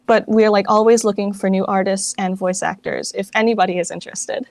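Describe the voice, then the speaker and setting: A woman speaks as a guest in a casual interview, in an informal way full of "like". Her pitch is average for a woman and the sound is dry, without room echo. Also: fairly even intonation, fairly quick, vocal fry, a little mellow. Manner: informal, filler-heavy with frequent "like". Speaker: guest, fan project director.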